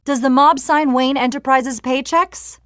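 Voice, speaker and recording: High-voiced, pushy female reporter. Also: fairly even intonation, unhurried, fairly bright tone, no room sound, studio quality. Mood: accusing. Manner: pointed, insistent questioning. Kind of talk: video game voice acting, shouted press questions.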